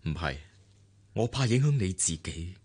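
An actor with a Hong Kong Cantonese accent playing a bitter, insistent, aggrieved husband in a radio drama, with a husky voice. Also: male voice, sits low, expressive, moderate pace, darkish tone. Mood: bitter reproach.

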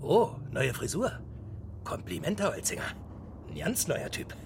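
A man playing an obsequious, slippery henchman who flatters his boss in a radio drama. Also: a little high, measured pace, background noise, heavily acted. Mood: fawning. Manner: fawning, smooth-talking. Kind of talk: German radio drama acting.

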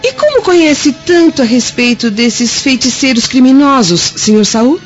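An actress playing a dignified, trusting young Roman wife in a radio drama, speaking formally and earnestly. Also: female voice, high-pitched, animated, moderate pace. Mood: troubled disbelief. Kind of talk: dramatic radio play acting. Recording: dull lo-fi audio with music behind.

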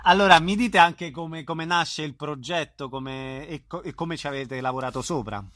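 A man conducting a conversational interview, putting his questions in a halting way. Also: fairly high pitch, a bit bright, dry recording, studio quality. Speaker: radio interviewer.

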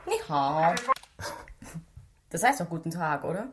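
A woman's voice: low-pitched, very animated, unhurried, noisy background. A woman acting a frank, teasing police detective in a radio drama, casual and direct.

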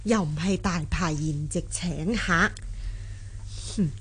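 An actress playing an elderly noble matriarch in a radio drama, commanding and fondly scolding. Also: female voice, on the low side, takes it slowly, slightly rough. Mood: grumbling.

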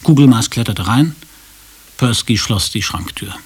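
A man's voice: fairly low pitch, lively intonation, unhurried, a little mellow, breathy edge. A man narrating a scripted radio drama as storyteller.

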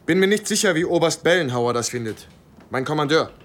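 An actor playing a guarded, polite German wartime lieutenant in a radio drama, speaking formally and with some reserve. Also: male voice, on the high side, lively intonation, a little fast, lightly acted. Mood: evasive, polite.

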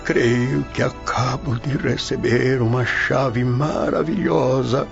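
A creaky old Roman grandfather, speaks slowly and solemnly, full of anguish and piety. It is a radio drama with a dull, muffled sound and prominent music behind. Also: medium pitch, steady tone. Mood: anguished, moved. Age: older. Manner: slow, solemn, declamatory. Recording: dull lo-fi audio under music.

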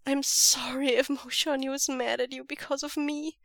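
A woman's voice: a little high, steady tone, a little slow, bright, forceful tone, dry recording, clean studio sound. Woman voicing a stammering remorseful young man. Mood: pleading, breaking. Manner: stammering, voice cracking and choking up.

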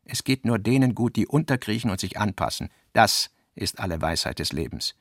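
A man's voice: lowish voice, animated, brisk, a bit bright, dry recording, studio quality. A man reading the part of a gloomy, disillusioned, sinewy man who speaks bluntly and bitterly in a radio play.

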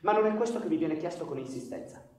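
An actor playing a stern, cold police chief in a radio drama, speaking with measured, deliberate authority. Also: male voice, a little high, lively intonation, a little mellow, slight background noise, natural voice. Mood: cold, insistent. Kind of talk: radio theatre acting. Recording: echoey reverberant room, distant mic.

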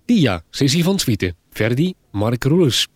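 A man's voice: mid-range voice, big pitch swings, measured pace, slightly rough. A man reading out cast credits as an announcer, in a scripted presentation style.

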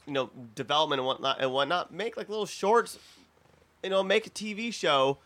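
A man with a slightly high, very bright and forward voice co-hosts a casual podcast panel. He argues his opinions freely and drops swear words as he goes. The delivery is conversational and unscripted. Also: a little fast. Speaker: podcast co-host.

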